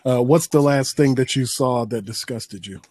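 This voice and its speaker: A man's voice: medium pitch, normal speed, a little mellow, no echo. A man co-hosting an interview who asks questions casually and chats about his own similar experiences. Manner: chatty, tells his own anecdotes. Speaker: show co-host.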